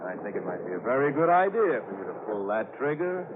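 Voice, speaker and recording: Heavy static and a tinny band frame a man acting a male criminal ringleader in 1950s radio drama. He talks fast and bossily, with a suspicious sneer, snapping out orders. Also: medium pitch, sing-song, lightly acted. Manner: commanding, sneering. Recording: very noisy, tinny old radio recording.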